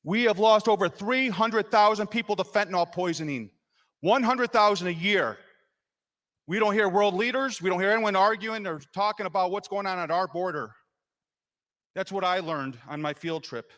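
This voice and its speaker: Legislator, male, impassioned floor speech, slightly high voice, long chamber reverb. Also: normal speed. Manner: impassioned, rising to loud emphasis. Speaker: state legislator. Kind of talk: floor speech explaining a vote.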